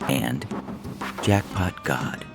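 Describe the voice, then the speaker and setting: A man reading scripted narration as a story podcast's reader, in a theatrical, deliberate manner. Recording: music behind the voice.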